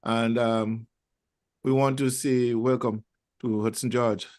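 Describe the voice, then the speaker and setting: A man's voice: medium pitch, little pitch movement, slow, darkish tone, dry recording, studio quality. Male talk radio host, chatty and rambling. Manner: rambling, many fillers and restarts. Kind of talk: casual talk show hosting. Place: lives in Toronto.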